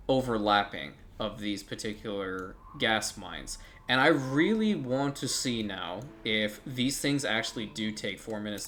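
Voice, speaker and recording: A man's voice: fairly low pitch, fairly bright tone, a little hiss or hum. A man giving casual, rambling commentary while playing a strategy game, thinking aloud and swearing now and then.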